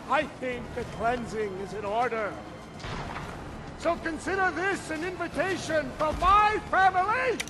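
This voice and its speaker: An actor playing a menacing aristocratic villain in a game, taunting and theatrical. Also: male voice, very high-pitched. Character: menacing aristocratic villain, commander of invading army. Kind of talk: video game cutscene acting. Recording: loud music behind the voice.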